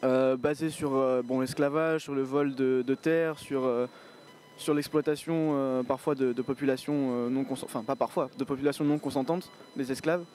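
A man's voice: mid-range voice, steady tone, moderate pace, a little mellow, slight background noise. A young man in his twenties giving a thoughtful, hesitant street interview with many fillers, speaking into a handheld mic outdoors in a city square. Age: 20s. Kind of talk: street interview, reflective opinion.

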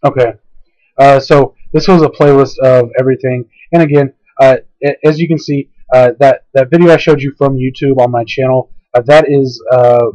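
A man narrating a casual, unscripted software tutorial, hesitant and rambling, his voice tiring as his throat gives out. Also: mid-range voice, fairly even intonation, normal speed, dry recording. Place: indoors at a computer, screen-capture voice-over. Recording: dull lo-fi home screen recording.

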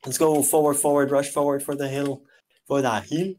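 A man's voice: medium pitch, little pitch movement. A man leading his squad in an online battle game, calling out orders over voice chat in a casual, bossy way. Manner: bossy, shouting quick orders.

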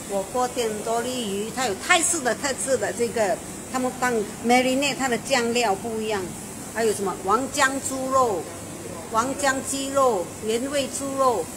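A middle-aged woman, hosting a casual restaurant review and chatting enthusiastically with the owner inside a restaurant. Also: a little high. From Malaysia. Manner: chatty and enthusiastic, with frequent fillers and exclamations. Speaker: food show host. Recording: noisy restaurant background.

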